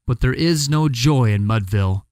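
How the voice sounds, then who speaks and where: A man giving a dramatic recitation of a poem, with big swings in pitch and pace and bursts of shouting. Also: expressive, normal speed, no echo, studio quality. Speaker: poetry reader.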